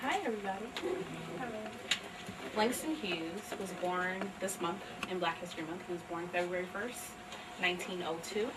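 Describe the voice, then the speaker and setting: A woman's voice: on the low side, moderate pace, very noisy. A woman presenting poetry to a live library audience, speaking plainly and putting questions to the listeners. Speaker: actress and poetry reader. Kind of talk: addressing a live audience between poems. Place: library event room. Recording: distant mic, loud piano music. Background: piano music.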